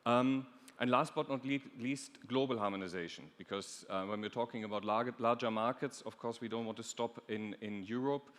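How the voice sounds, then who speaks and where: A man's voice: medium pitch, fairly even intonation, moderate pace, few pauses, fairly bright tone. Man presenting in a reverberant hall. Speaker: European Commission official, panelist. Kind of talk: conference presentation. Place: large conference stage. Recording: echoey hall.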